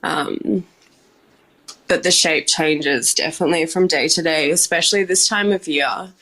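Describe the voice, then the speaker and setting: Low-voiced young woman, chatty. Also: a little slow, fairly bright tone, rough recording. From Australia. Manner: chatty, many "like" and "um" fillers, laughs often. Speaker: bar manager and junior sommelier, guest. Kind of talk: casual interview talk.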